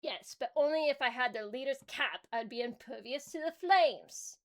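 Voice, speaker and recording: A woman's voice: high-pitched, lively intonation, normal speed. A woman voicing a reluctant, superstitious male orc officer who speaks hesitantly. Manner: hesitant, grudging.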